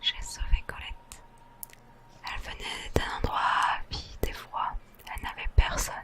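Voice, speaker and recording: A host whispering soft, gentle, chatty commentary over a video game in ASMR style. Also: a little slow. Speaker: host of a relaxation gaming channel.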